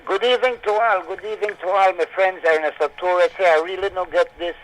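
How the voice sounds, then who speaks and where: A man's voice: high-pitched, fairly even intonation, fast, slight background noise, low-quality recording. A man with a Belizean accent chatting casually on a phone-in, courteous and genial, repeating his phrases. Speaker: caller to radio show. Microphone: telephone.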